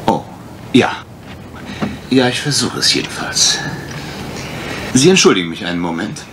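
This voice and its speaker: Low-voiced, expressive gentleman investigator. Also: normal speed. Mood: formal, probing. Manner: polite, formal, probing. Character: courteous gentleman investigator, measured and patient. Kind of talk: 1980s German mystery radio play acting. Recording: noisy old radio drama recording.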